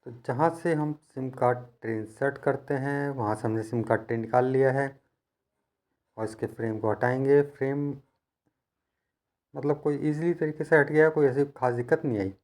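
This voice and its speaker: A man talks through practical repair work in a conversational tutorial style. His voice sits at a medium pitch. He explains each step patiently, like a working technician teaching his trade. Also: fairly even intonation, normal speed, a little mellow. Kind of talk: hands-on instructional narration, conversational.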